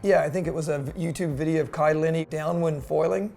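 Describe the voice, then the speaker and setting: A middle-aged man with a New Zealand accent, a company co-founder talking casually about his work and often pausing with "uh". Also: a little high, creaky, soft background music. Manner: relaxed, with frequent "uh" pauses. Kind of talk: casual promotional chat.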